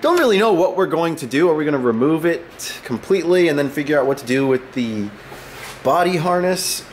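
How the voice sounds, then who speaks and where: A man's voice: on the high side, expressive, brisk, a little hiss or hum. Upbeat young man vlogging, a bit sick. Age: 20s. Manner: chatty and upbeat, joking with a friend. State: sick, slightly deeper voice. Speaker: car vlogger. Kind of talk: casual vlog talk to camera. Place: home garage. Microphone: handheld camera close to face.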